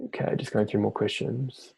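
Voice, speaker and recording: Creaky low-voiced New man. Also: moderate pace, dry recording, low-quality recording. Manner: relaxed, conversational, reads out questions then answers them off the cuff. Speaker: fellowship team member hosting a webinar Q&A. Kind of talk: live webinar question-and-answer.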